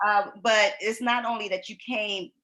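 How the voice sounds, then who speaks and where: Brief: woman host, writing coach; bright, loud, folksy, laughs a lot. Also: medium pitch, steady tone, normal speed, low-quality recording. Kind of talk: lively live-stream talk.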